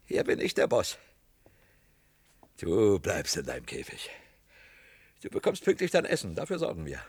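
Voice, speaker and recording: An actor in a radio play playing a coarse, domineering cellar-dweller with a rough voice and a blustering, sneering manner. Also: male voice, deep voice, wildly swooping pitch, moderate pace, no room sound, heavily acted. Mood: gloating, domineering.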